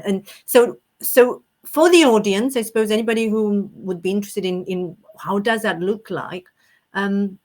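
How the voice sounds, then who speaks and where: Romanian-born woman hosting a podcast. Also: medium pitch. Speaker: podcast host. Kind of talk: conversational interview.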